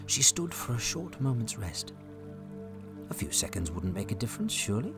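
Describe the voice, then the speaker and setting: Animated male storyteller with music behind. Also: mid-range voice, moderate pace. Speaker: narrator. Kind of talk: scripted storybook narration. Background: music under the voice.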